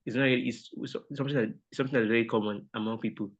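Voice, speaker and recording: A man's voice: medium pitch, fairly even intonation, quick pace, breathy edge. Polite, hesitant man on a dull call line. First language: Yoruba. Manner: hesitant, polite, many restarts, says sir often. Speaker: mentorship participant. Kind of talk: sharing a reflection on a video call. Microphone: online call audio.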